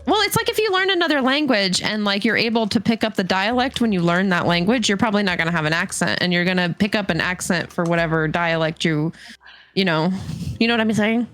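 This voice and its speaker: A woman's voice: mid-range voice, lively intonation, fast talker, fairly bright tone. A woman talking casually as a guest in a group livestream chat.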